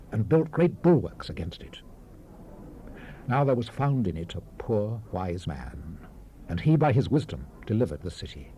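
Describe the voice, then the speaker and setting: Casting: grave biblical preacher-sage for dramatized scripture; lowish, dark tone, solemn measured delivery, weary wisdom, faint 1970s tape hiss. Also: male voice. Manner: measured, oratorical, emphatic rises and hushed falls. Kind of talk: solemn dramatized Bible reading. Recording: hissy 1970s cassette rip, background ambience.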